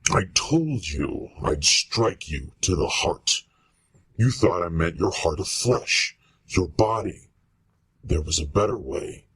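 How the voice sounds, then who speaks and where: A man playing a menacing, vengeful monster in a horror radio play, speaking huskily with slow, ominous deliberation. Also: deep voice, lively intonation, a bit bright, breathy edge, dry recording, full character voice. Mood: bitter, sorrowful. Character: menacing male monster, vengeful creation tormenting its maker. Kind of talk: amateur radio-play style horror acting.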